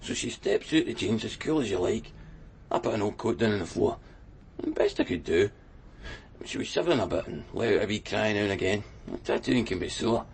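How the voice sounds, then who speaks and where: Low-voiced Scottish tattooist. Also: male voice, very animated, a little fast, creaky, a little hiss or hum. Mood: chatty reminiscing. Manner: rambling, colloquial storytelling. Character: chatty, wistful male backstreet Glasgow tattooist. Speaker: actor in radio crime drama. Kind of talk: naturalistic radio drama acting.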